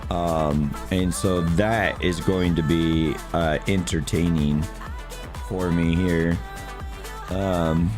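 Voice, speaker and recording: Hoarse deep-voiced man, talking softly. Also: steady tone, very slow, music in the background. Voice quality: unusually deep from lost voice. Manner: talks quietly to spare his voice; chats to viewers, chuckles at himself. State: hoarse, lost voice. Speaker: streamer. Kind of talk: casual livestream commentary while playing.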